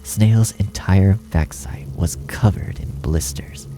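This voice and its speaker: A man narrating a horror story from a script in a dramatic, gravelly voice that often sinks toward a breathy hush. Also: sits low, slow, music in the background. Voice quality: gravelly, often dropping to breathy near-whisper.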